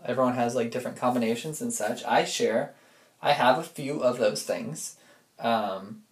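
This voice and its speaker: A man speaking in a casual, rambling personal audio diary, reflective and informal, often feeling his way through a thought. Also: mid-range voice. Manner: informal, reflective, loses and regains his thread. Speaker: personal audio log diarist. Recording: echoey room.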